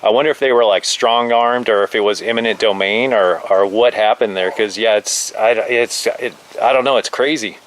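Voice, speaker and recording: A man chatting casually on two-way radio, halting and agreeable. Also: fairly low pitch, a bit bright, a little hiss or hum, low-quality recording. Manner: agreeable, halting, filler-heavy with many repeated "like"s. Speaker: amateur two-way radio operator.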